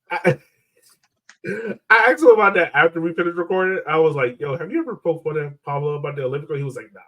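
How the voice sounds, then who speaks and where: A man's voice: a little high, lively intonation, brisk, long pauses, bright, forceful tone. A man hosting a casual soccer podcast in a playful, joking way, a little distant from the microphone. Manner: playful, laughs often. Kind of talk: casual chat, joking sports talk.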